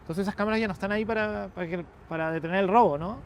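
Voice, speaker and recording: A man's voice: high-pitched, very fast, a little hiss or hum. Chatty Chilean man on a city rooftop. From southern Chile. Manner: relaxed, chatty, restarts often, laughs easily. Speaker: interview guest, journalist and photographer. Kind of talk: casual conversation. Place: rooftop patio in Brooklyn, outdoors.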